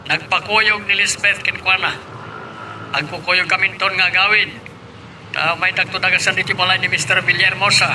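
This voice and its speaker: High-voiced scheming Filipino boss played by a man. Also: flat delivery, moderate pace, lightly acted. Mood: evasive, businesslike. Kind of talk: Filipino radio drama acting. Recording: vehicle and street noise behind.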